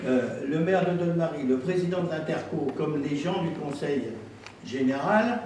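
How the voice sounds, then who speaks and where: Echoey, distant, higher-pitched Frenchman explaining insistently. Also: normal speed, darkish tone. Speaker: president of an intercommunal council answering questions. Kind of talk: spontaneous explanation at a public council meeting. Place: indoor council meeting room. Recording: very echoey room, distant mic, faint noise.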